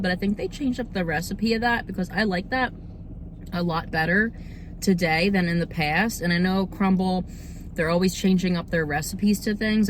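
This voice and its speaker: A young woman talks to her viewers in an informal, chatty review style. Her voice sits a bit low and is slightly hoarse, as if getting over a cold. Faint background noise runs under the recording. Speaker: host of a cookie review video series. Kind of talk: casual chat food review to camera.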